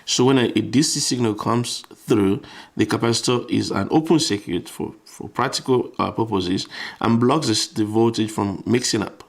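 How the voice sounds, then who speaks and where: Male tutor, low creaky voice, informal step-by-step electronics walkthrough with repeated phrases. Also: normal speed. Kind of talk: explanatory tutorial, thinking aloud while demonstrating.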